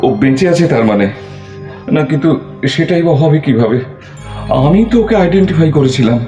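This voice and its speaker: A man's voice: fairly high pitch, animated, brisk, mellow, lo-fi sound, soft background music, close to an everyday voice. Man acting a stammering, guilt-ridden suspect, echoey. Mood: desperate pleading. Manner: stammering, repeating words in agitation. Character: guilty, panicked man, a police suspect pleading innocence. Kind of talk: audio drama acting.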